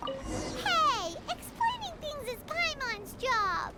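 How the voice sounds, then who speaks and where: A woman's voice: very high-pitched, very animated, extremely slow, heavy background noise, exaggerated voice. A woman voicing a small, childlike fairy companion, perky and indignant, in a video game. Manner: refers to self in third person, exclamatory. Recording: loud game music behind the voice.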